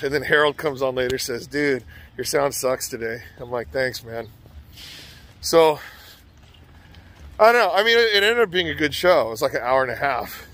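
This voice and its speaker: A man's voice: mid-range voice, a bit bright. A man talking to the camera in a rambling, casual vlog, often checking in with "okay?" and "you know". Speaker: vlogger. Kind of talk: casual walking vlog monologue.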